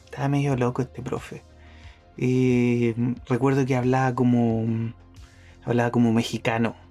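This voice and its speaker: A man's voice: medium pitch, completely flat delivery, measured pace, a little mellow, soft background music. A man hosting a podcast, talking through his thoughts in a casual monologue, hesitant, with many fillers and restarts. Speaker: podcast host.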